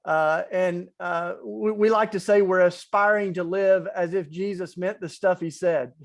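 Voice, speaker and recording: A man with a marked East Tennessee accent and a notably high voice. He talks in an earnest, reflective, conversational way as a webinar panelist. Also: steady tone, a little slow. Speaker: webinar panelist, faith organizer. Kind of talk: online panel talk.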